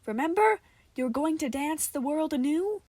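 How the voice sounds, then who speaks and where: A woman's voice: high-pitched, expressive, normal speed, darkish tone, dry recording, voice pushed up. A woman voicing a bewildered, demanding female mannequin henchwoman in an audio horror story. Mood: insistent. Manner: demanding, insistent.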